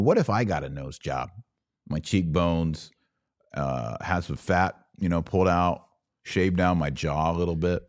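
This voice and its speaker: Deep-voiced American man, emphatic podcast host. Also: darkish tone, no echo, clean studio sound. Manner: emphatic, preachy, rhetorical questions. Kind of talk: opinion commentary monologue.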